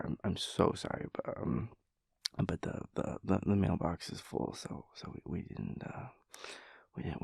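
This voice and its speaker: A man acts out a sleepy, chaotic boyfriend in an intimate audio roleplay. His low voice is thick with vocal fry, and he rambles in a stammering, playfully mock-serious way as he resists getting up. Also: dark tone, no echo, studio quality, full character voice. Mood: mock-apologetic, stammering.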